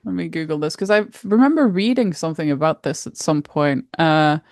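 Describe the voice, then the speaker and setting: Low-voiced woman podcast co-host. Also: a little fast, a little mellow, no room sound, clean studio sound. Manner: laughs often, hesitant restarts. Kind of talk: casual chat.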